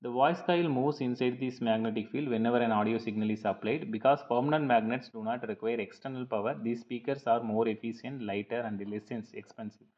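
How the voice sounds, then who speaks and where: A man's voice: medium pitch, brisk, few pauses. Man lecturing in a dull, lo-fi recording. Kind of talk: scripted lecture narration over slides.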